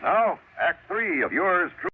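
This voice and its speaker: A man's voice: high voice, very animated, unhurried, breathy edge, phone-quality sound. A man with an American accent announcing in a polished, formal 1950s radio style, reading scripted copy. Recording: faintly noisy vintage radio recording.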